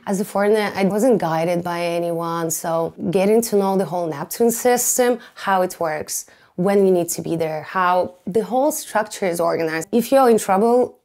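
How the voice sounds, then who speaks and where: A woman in her twenties from Siberia with a Russian accent, speaking candidly and wryly in a documentary interview, with soft laughs between thoughts. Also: fairly low pitch, moderate pace.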